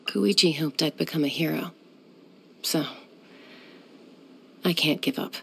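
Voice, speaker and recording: An actress voicing a young warrior woman who is a peacemaker, speaking in a calm, measured way with a dry, teasing edge. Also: deep voice, gravelly, a little hiss or hum, exaggerated voice. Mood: resolute. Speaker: game character. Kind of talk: game voice lines.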